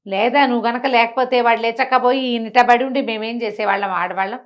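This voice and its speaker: A woman's voice: a little high, fairly even intonation, fast, lightly acted. A woman storyteller voicing a grateful village mother who pleads and coaxes insistently, with affectionate forms of address. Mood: pleading.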